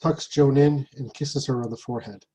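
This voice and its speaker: Husky-voiced man reading stage directions. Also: mid-range voice, steady tone, moderate pace, mellow, poor audio. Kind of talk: scripted narration of stage directions. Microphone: video call.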